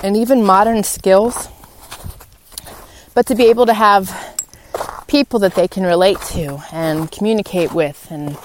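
A woman's voice: mid-range voice, a little slow. Lady doing a motivational podcast, earnest n encouraging, kinda noisy audio w clicks. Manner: encouraging, earnest, addresses listeners as guys. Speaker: podcast host. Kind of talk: informal solo motivational podcast talk. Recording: clearly noisy background with clicks.

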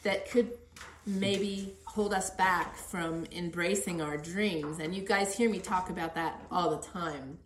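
Low-voiced woman interviewing in an echoey room. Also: steady tone, takes it slowly, few pauses, slight background noise. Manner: earnest, encouraging. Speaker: podcast host and interviewer. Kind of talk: conversational podcast interview. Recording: roomy, echoey sound.

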